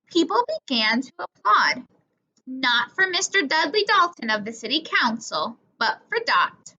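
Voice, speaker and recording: A woman reading a children's story aloud in an expressive, deliberate manner, acting out the dialogue. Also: sits high. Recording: dull lo-fi recording.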